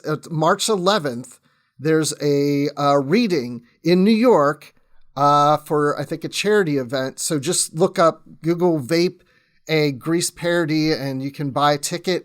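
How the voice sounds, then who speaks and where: A man's voice: a little high, clear, resonant tone. A talkative man chatting casually as a podcast guest, often breaking off and restarting his own sentences. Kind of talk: casual chat.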